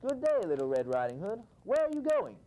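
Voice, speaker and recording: A greedy, cruel wolf from a storybook speaks in a smooth, falsely friendly way, as a male reader puts him on. The voice sits a bit higher than plain talk and rises and falls a lot, with a mellow tone. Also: wildly swooping pitch, fairly quick, poor audio. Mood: sly.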